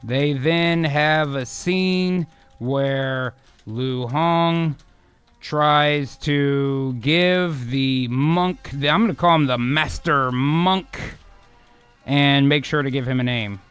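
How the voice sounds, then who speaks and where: High-pitched man narrating an improvised drama. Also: very slow, a bit bright, clear, resonant tone, no room sound. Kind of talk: dramatic narration mixed with casual chat. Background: music.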